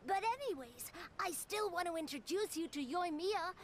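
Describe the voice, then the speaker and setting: A chatty little boy, very high, rambly and excited. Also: normal speed, a little hiss or hum. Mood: cheerful. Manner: rambling, enthusiastic. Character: chatty young boy, boastful and cheerful. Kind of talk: game cutscene voice acting.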